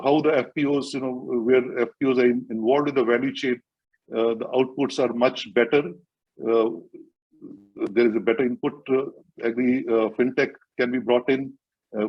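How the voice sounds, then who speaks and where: A man presents at an online workshop, stopping often for fillers as he works through his points. His voice is a little husky and mid-pitched. The call audio is dull and muffled. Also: darkish tone. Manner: hesitant, many fillers. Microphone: video call.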